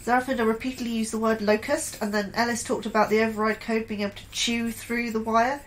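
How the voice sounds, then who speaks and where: An actress playing an anxious, troubled woman scientist in an audio drama, confiding her fears in a hesitant, halting way. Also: fairly high pitch, little pitch movement, a little hiss or hum, close to an everyday voice, rough recording. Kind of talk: audio drama acting, diary-like monologue and dialogue.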